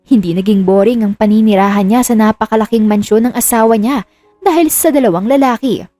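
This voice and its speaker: Mellow woman narrator, very dry sound. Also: fairly high pitch, a little fast, no room sound. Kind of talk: scripted narration of romantic drama. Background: music.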